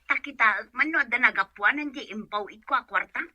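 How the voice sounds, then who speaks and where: A distrustful, nagging wife in an Ilocano-accented radio comedy. Her voice is high and intensely sharp, recorded very dry. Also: fairly quick, no echo, dull audio. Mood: suspicious, exasperated. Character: distrustful, nagging wife working away from home. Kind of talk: comedic radio drama acting.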